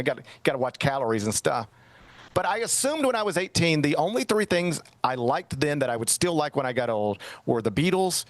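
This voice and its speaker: A man's voice: on the high side, no room sound. A middle-aged man hosting a sports talk show, chatting casually and telling a rambling, self-mocking story. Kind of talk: casual radio-style chat.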